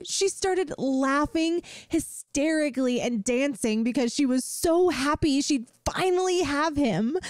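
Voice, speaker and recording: A woman's voice: high voice, a little mellow, no echo. A woman playing a bubbly, inept, lovestruck young yandere student in an audio roleplay, rambling and pleading dramatically with the listener. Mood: sheepish, gushing. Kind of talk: scripted ASMR audio roleplay, addressing the listener.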